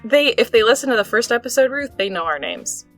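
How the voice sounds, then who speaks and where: A woman's voice: a little high, sing-song, fairly quick, a bit bright, soft background music. A young woman co-hosting a podcast in casual chat, laughing often, recorded in a small booth. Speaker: podcast co-host.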